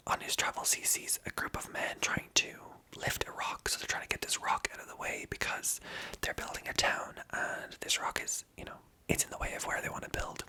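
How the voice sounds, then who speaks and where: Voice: pushed and bright, rough recording. A storyteller retelling an old legend in a casual, chatty whisper.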